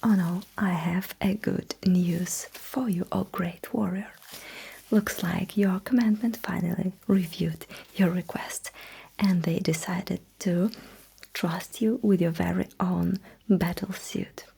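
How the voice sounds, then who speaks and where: Female ASMR roleplayer as a polite, deadpan-witty battlesuit technician; whispered, unhurried delivery with some creak. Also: lowish voice, poor audio. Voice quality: breathy whisper. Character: courteous, dryly witty alien mecha technician. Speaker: ASMR performer.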